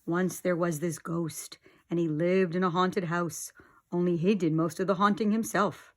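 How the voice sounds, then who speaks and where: A middle-aged woman, an expressive storyteller reading aloud for young children. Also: fairly low pitch, normal speed.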